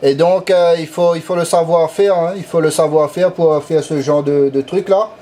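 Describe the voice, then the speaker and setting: A man from Réunion chatting casually over amateur radio in a halting, repetitive way. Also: a little high, fairly even intonation, mellow. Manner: halting, repeats words. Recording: faintly noisy radio transmission.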